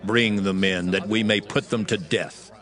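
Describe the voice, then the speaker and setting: A man's voice: on the low side, steady tone, a little slow, a bit bright. An actor playing a vengeful man of the people, demanding and declamatory, in a scripture drama. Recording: music bed behind the voice.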